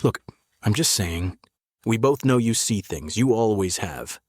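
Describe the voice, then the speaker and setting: Low-voiced, casually probing middle-aged cop. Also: male voice, expressive, measured pace, a little mellow, no room sound, studio quality. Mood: calm, probing. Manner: casual, conversational, subtly probing. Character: tired gray-haired cop, fatherly yet quietly dangerous. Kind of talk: audio drama acting.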